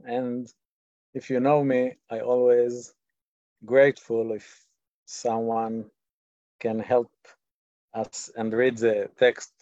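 Slow Israeli man lecturing. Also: mid-range voice, flat delivery, warm tone, no echo, lo-fi sound. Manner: slow, hesitant, many fillers and restarts. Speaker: teacher. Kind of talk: lecture on online video call.

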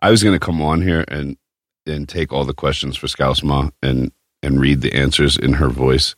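A man's voice: a bass voice, steady tone, moderate pace, dry recording. A man hosting a casual podcast chat, talking in a brash, crude, joking way. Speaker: podcast host.

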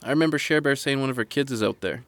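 A man's voice: mid-range voice, rapid-fire, fairly bright tone, no room sound, lightly acted. A man playing an easygoing, joking local in a naturalistic audio drama, with a folksy, slangy way of talking.

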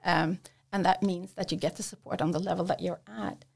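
A woman's voice: fairly low pitch, steady tone, brisk, vocal fry, darkish tone. A woman working as an academic English tutor, answering interview questions in a hesitant way with many fillers and restarts.

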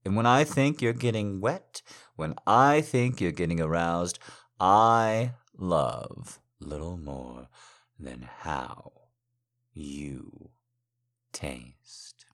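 A very low-pitched, silver-tongued male lover, acted by a man in an intimate audio roleplay. He speaks slowly and seductively, in flowery phrases that slip into breathy murmurs, adoring yet teasing and gently in command. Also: heavily acted. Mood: hushed, seductive.

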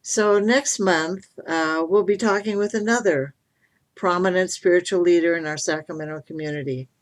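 A woman's voice: fairly low pitch, normal speed. A woman hosting an interfaith podcast interview, speaking in an appreciative, affirming manner. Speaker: podcast host.

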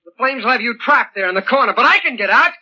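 A man's voice: very high-pitched, lively intonation, fast, pushed and bright, husky, heavily acted. An actor playing a bitter, neurotic man bent on revenge, in intense melodramatic 1940s radio style. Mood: menacing. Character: bitter, vengeful, neurotic twin brother turned arsonist. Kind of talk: 1940s radio drama acting. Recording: muffled vintage 1940s radio recording.

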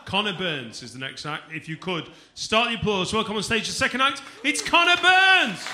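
A man compèring a comedy night, loudly hyping the crowd and introducing acts. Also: high voice, sing-song, normal speed, noisy background. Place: comedy club stage. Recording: loud crowd, echoey club, from far off.

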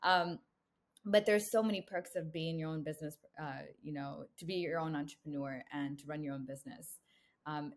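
Low-voiced young woman, talkative and earnest. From United States. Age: young adult. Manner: earnest, talkative, frequent "you know" fillers. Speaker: guest, brand co-founder. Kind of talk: casual interview chat.

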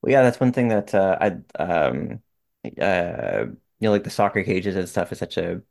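A male interviewer with a low, gravelly voice. He talks casually and haltingly, filling gaps with "um" and "you know". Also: lively intonation, a little mellow. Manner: hesitant, many "um" and "you know".

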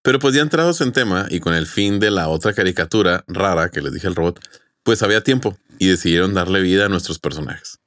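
A man's voice: deep voice, expressive, quick pace, a bit bright, no echo, studio quality. A man with a Mexican accent, a podcast host telling stories to friends in a jokey, colloquial way. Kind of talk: casual podcast storytelling with friends.

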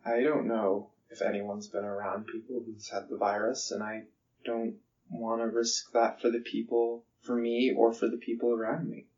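A man's voice: medium pitch, level tone, normal speed, a little mellow, muffled recording. A man of twenty, the host's son, giving brief, plain answers in an interview. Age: 20s.

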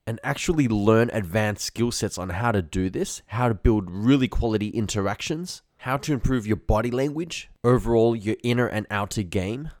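Low-voiced man coaching informally. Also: a little mellow, no room sound, clean studio sound. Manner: blunt, slangy, acts out example lines. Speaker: dating confidence coach, show host. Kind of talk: informal instructional monologue.

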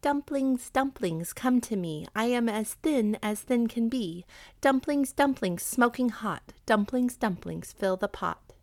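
A woman's voice: a little high, expressive, a little slow, no echo, studio quality, pitched above the performer's own voice. A woman voicing a poor, fretful old widow in a storybook reading. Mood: excited chanting. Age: older. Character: poor old Chinese widow, plaintive, fretful, devoted mother.

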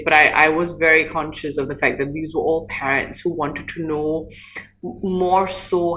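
A woman's voice: low voice, a bit bright, a little hiss or hum, rough recording. A woman guest in an interview who talks thoughtfully, often restarting her sentences, about her playgroup work. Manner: thoughtful, many restarts and fillers. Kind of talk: conversational interview.

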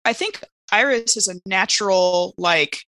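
A woman's voice: medium pitch, unhurried, bright, forceful tone, no room sound. A woman chatting casually as a player in a tabletop game. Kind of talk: casual chat.

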